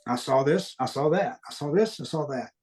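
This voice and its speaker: Man, mild Southern US accent, fairly high pitch, earnest, halting, reflective interview storytelling. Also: lively intonation, a little mellow. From American Deep South. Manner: earnest, halting, repeats phrases for emphasis. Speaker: podcast guest recounting paranormal experiences.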